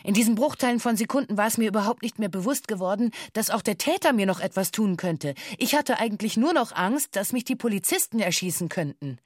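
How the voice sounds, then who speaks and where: A woman acting as a former hostage in a radio drama, giving a sober witness statement. The delivery is formal and deliberate, with a mid-pitched voice in a very dry, close studio sound. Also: lively intonation, dry recording, lightly acted. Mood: sober, factual. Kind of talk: scripted documentary radio drama monologue.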